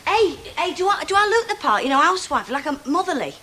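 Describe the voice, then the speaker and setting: A woman's voice: high-pitched, very animated, fast talker, background noise. A chatty landlady. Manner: chatty, homely, colloquial. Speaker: woman posing as a landlady.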